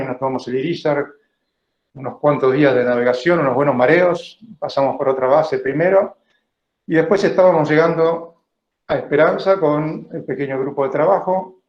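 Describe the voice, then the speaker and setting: A man in his fifties with an Argentine accent gives an informal illustrated lecture over a video call, speaking conversationally and often adding little check-in questions. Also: fairly high pitch. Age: 50s. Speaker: biologist giving a talk. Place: indoor room, online video call. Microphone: video call microphone. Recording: roomy, dull online meeting audio.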